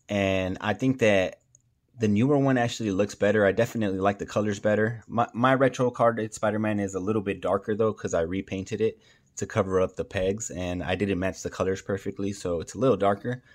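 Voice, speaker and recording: A man's voice: lowish voice, steady tone, a little fast. A man hosting a casual toy review, speaking informally and enthusiastically with plenty of slang.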